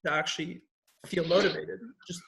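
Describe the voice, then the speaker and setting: A man's voice: fairly high pitch, flat delivery, fairly quick, vocal fry, fairly bright tone, slight background noise, low-quality recording. A man hosting a podcast, chatting in an easygoing way and explaining ideas plainly. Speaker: podcast host. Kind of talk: casual chat.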